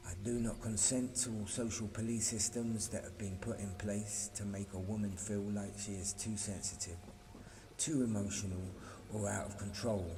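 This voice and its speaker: A man reading a scripted spiritual invocation in a solemn, measured, ceremonial and declarative manner. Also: fairly low pitch. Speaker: reader of a spiritual decree. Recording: long reverb, clearly noisy ambient background.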